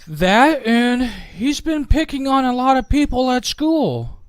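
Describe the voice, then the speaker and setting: A man acts out an earnest suburban father for a Minecraft roleplay. His voice is extremely high, smooth and dry. He is polite and explains himself in deliberate phrases. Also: big pitch swings, no room sound, heavily acted. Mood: earnest, complaining.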